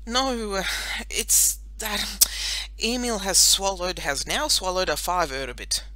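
A woman voicing an anxious, thrifty father in a storybook, with a halting, haggling manner. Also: mid-range voice, pushed and bright, dry recording, voice pushed up, poor audio. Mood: flustered.

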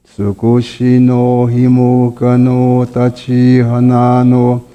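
A man leading a chanted prayer in a church sanctuary, facing the altar, in a slow monotone. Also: mid-range voice. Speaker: prayer leader. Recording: dull, muffled sound.

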